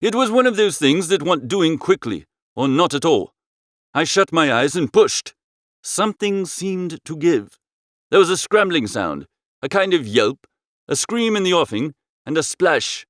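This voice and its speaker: A man narrating a comic first-person literary story as an audiobook, in a droll, expressive storytelling manner. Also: fairly high pitch, a little slow, dry recording, clean studio sound.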